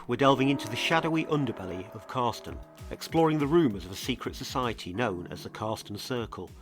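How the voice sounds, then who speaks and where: A man presenting a scripted true crime mystery podcast as an earnest investigator, with a measured, portentous delivery. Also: lowish voice, lightly acted.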